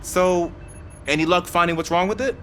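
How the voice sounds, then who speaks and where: An earnest cop, noisy background. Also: male voice, sits high, normal speed, fairly bright tone. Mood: earnest, casual. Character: earnest, helpful male police dispatch officer. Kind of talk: video game cutscene acting.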